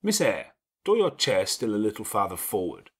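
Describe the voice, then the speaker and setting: A man voicing a gruff, commanding, teasing middle-aged gentleman with elaborate, sardonic phrasing in an audiobook. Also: on the low side, huge pitch swings, normal speed, exaggerated voice. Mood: imperious, satisfied. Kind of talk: dramatic audiobook character dialogue.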